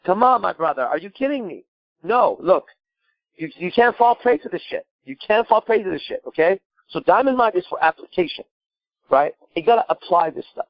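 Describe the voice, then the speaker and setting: A man's voice: sits high, animated, brisk, no room sound, lo-fi sound. A man from Iran giving improvised advice as a call-in show host, emphatic and blunt, repeating himself and asking rhetorical questions. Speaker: podcast host and coach.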